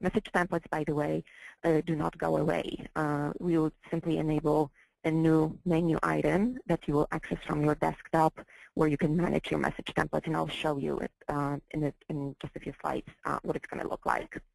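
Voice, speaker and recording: Low, creaky-voiced woman leading software training. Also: flat delivery, brisk, dry recording, rough recording. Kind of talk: slide-based training walkthrough.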